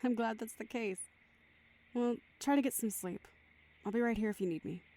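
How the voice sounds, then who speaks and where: A woman's voice: fairly high pitch, moderate pace, mellow, close to an everyday voice. A woman playing a tired, caring mother in an audio drama. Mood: gentle, reassuring. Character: caring, tired mother hosting a sick young guest.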